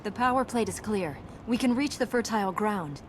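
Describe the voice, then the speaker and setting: An actress playing an earnest, melancholy young princess in a video game, speaking in a measured, wistful way. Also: female voice, mid-range voice, animated, noisy background, close to an everyday voice. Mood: focused. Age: young adult.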